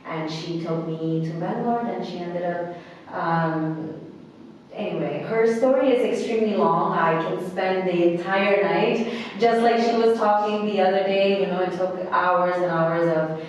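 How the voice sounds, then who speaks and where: A woman's voice: on the low side, a little hiss or hum. Earnest woman in an echoey hall. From Bangladesh. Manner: earnest, halting, frequent restarts and fillers. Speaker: interview guest. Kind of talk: personal storytelling in conversation. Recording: echoey hall, mic at a distance.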